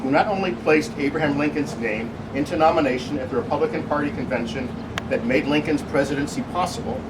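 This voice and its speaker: A man with a slightly high voice reads a light, humorous tribute, slipping in wry asides as he goes. He is picked up from some distance, with very loud room noise around him. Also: fast talker. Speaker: speech reader at a birthday celebration. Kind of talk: reading a humorous tribute speech with ad-libbed asides.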